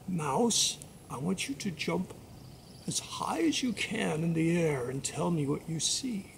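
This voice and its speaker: A woman voicing a calm magic frog who gives slow, deliberate instructions in a story. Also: lowish voice, gravelly, a little hiss or hum, voice pushed down, exaggerated voice. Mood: commanding. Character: calm, commanding magic frog guiding a mouse.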